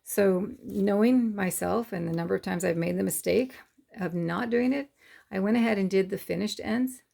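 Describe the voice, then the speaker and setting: Hoarse, gravelly woman explaining sewing. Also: lowish voice, darkish tone. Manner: rambling, hesitant with many fillers. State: hoarse, losing her voice. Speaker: sewing channel host. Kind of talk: casual sewing tutorial.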